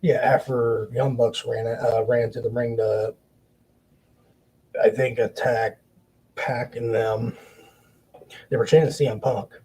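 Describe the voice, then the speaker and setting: A man hosting a wrestling podcast, giving blunt, profane opinions in casual commentary, with halting restarts. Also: mid-range voice, level tone, warm tone, slightly rough, poor audio.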